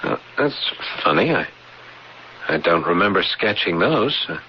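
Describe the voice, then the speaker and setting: An actor playing a troubled artist husband with a haunted past in a 1980s radio drama, speaking haltingly and repeating his words. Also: sits low, sing-song. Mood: puzzled, absorbed. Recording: muffled, hissy old radio broadcast.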